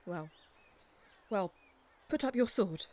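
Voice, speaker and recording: A woman's voice: medium pitch, animated, slow pace, a little mellow. A woman playing a bitter, grieving noblewoman in a Shakespearean audio drama, with a formal, cutting, theatrical delivery. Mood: wary yielding.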